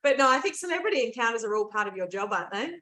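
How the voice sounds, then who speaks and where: A woman hosts the interview and asks her questions quickly and eagerly. Her voice sits fairly high and swings widely in pitch, and her speech is chatty and fast. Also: big pitch swings, sharp tone.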